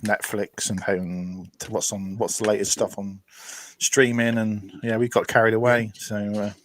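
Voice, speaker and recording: A man with a hoarse, gravelly voice, hosting a casual live stream chat while ill. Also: on the low side, level tone, moderate pace, no echo.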